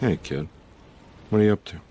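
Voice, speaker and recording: Low-voiced, wary detective. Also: male voice, fairly quick, dark tone, husky. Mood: probing, skeptical. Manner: dry, terse, skeptical. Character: gruff male detective, wary, laconic, adult. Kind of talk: video game cutscene dialogue. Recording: rain and thunder ambience behind voice.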